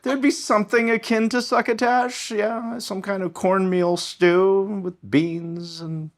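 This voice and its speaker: A man, a history professor, speaking as a podcast guest in a thoughtful, measured way with frequent ums and small restarts. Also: sits high, level tone. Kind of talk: conversational podcast interview.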